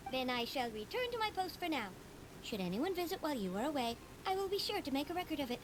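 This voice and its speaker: A woman's voice: sits high, big pitch swings, quick pace, background noise. A woman voicing a dutiful, flustered small fey court clerk in a game. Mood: fretful. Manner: polite, flustered. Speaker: court assistant.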